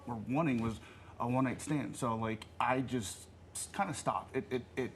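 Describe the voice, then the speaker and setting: A man's voice: medium pitch, normal speed, a little hiss or hum. Earnest man in his thirties. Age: 30s. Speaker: reality show guest. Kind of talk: TV interview answers.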